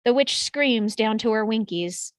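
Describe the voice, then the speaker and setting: A woman's voice: a little high, measured pace, no room sound. Dry-sounding woman reading stage directions. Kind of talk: scripted screenplay narration.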